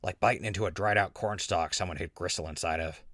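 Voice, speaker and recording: A wry wasteland survivor, played by a man, speaks in a low, forceful voice. He is casual and a little skeptical, in a dry audio drama recording. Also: quick pace, no echo. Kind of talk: post-apocalyptic audio drama acting.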